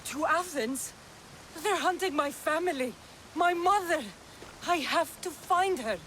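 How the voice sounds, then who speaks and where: A woman's voice: extremely high pitch, a little slow, a bit bright, slight background noise. An actress playing a fierce, stubborn young Greek mercenary woman in a video game cutscene, speaking tersely and intensely. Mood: desperate.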